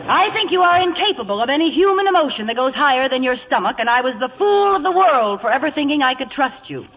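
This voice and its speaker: A woman's voice: sits high, sing-song, fast, fairly bright tone. A woman playing a wry, wounded, outspoken secretary in a 1940s radio comedy, sardonic and heartfelt. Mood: bitter. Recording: dull vintage radio recording, long reverb.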